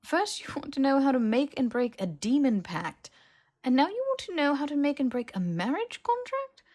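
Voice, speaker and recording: A woman voicing a brisk, bookish, sceptical young woman in an audiobook, explaining and questioning with precision. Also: sits high, big pitch swings, a little mellow, pitched above the performer's own voice. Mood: sceptical, probing. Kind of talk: audiobook character dialogue.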